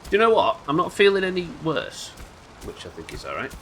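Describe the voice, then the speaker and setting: A man with a nasal voice, a little hoarse from illness, chatting wryly and swearing casually while streaming a game live. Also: fairly high pitch, huge pitch swings, a little fast, a bit bright, slight background noise. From United Kingdom. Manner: chatty, wry, swears casually, muttering asides. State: sick, slightly hoarse.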